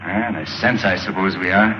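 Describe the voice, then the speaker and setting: An actor playing a bold, decisive space pilot hero in an old film serial, with a brisk, clipped delivery. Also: male voice, deep voice, background noise, natural voice. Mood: earnest. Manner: brisk, clipped, matter-of-fact. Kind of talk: 1930s film serial acting. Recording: dull old film soundtrack, loud music.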